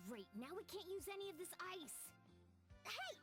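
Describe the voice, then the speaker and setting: A woman's voice: very high voice, sing-song, a little slow, music in the background, heavily acted. An actress playing a bubbly, sporty young woman in a video game, breezy and casual but easily exasperated.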